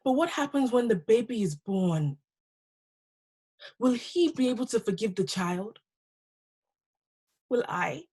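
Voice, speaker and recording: A woman performing a dramatic stage monologue as a wounded, bitter wife, with deliberate, halting, impassioned delivery. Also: a little high, expressive, dark tone, slightly rough, heavily acted. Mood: anguished, doubtful. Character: wounded, bitter wife who survived violence and rejection.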